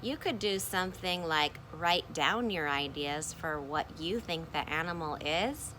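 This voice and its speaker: A woman teaching kindergartners in a read-aloud lesson, speaking expressively and pausing to ask questions. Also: low-pitched, a little hiss or hum, poor audio. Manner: expressive, asks questions and pauses for answers.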